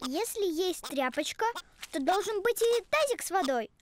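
Casting: little critical cartoon creature, childlike and inquisitive, squeaky-high with animated pitch. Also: moderate pace, very noisy. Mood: picky. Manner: childlike, pointed, correcting.

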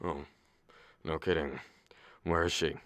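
A man's voice: extremely low pitch, steady tone, a little slow, a bit bright, no room sound. A man playing an impatient, blustering middle-aged father of the bride in a radio play, demanding and money-minded. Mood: suspicious, exasperated.

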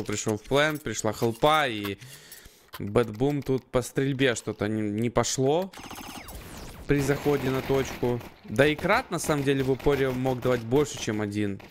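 A man's voice: mid-range voice, normal speed, a little hiss or hum. A man co-commentating a live esports match, conversational and analytical, without a script. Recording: faint gunfire and clicks behind. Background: game gunfire, clicks.

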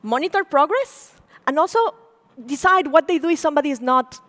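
Woman, Spanish accent, high voice, stage lecture, reverberant hall. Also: brisk. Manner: animated, gesturing, asks the audience questions. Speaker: conservation program director. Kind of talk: public lecture. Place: large auditorium stage. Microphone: stage mic, speaker walking.

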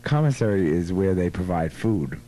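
A man's voice: low-pitched, expressive, normal speed, mellow. A man bantering casually and playfully as a radio host. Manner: playful, teasing. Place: radio studio. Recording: 1980s radio aircheck with music behind. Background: music.

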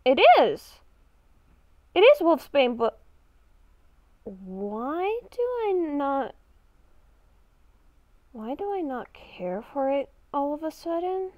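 High-pitched, slow-talking female gamer, muffled mic. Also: sing-song, darkish tone, no echo. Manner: thinking aloud, repeating phrases. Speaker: gamer playing a roleplay character. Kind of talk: improvised in-character game narration. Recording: dull, lo-fi microphone.

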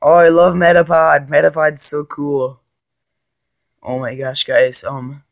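A man with a cold and a congested voice, rambling casually with lots of ums and excited bursts as he plays and narrates a handheld game. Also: mid-range voice. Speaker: gaming channel host. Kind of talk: casual let's play commentary.

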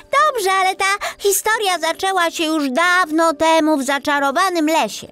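A woman voicing a sweet, cheerful little star girl telling bedtime stories in an animated, childlike way for a children's audiobook. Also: extremely high pitch, moderate pace. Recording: music behind the voice.

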